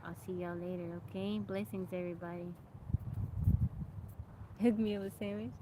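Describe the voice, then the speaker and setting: A woman's voice: lowish voice, normal speed, heavy background noise. A woman live streaming outdoors on a farm from her phone, chatting casually and telling a story with lots of laughs. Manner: animated storyteller, laughs often, thanks viewers by name. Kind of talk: casual livestream storytelling and chat.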